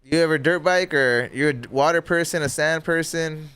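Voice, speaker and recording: Man, podcast host, relaxed, casual swearing, smooth voice, slightly higher pitch. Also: moderate pace.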